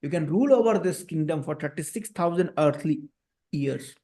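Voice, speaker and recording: A man's voice: a little high, lively intonation, moderate pace, mellow. A man with an Indian accent voicing a merciful god who solemnly grants boons in a children's devotional story. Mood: benevolent, solemn.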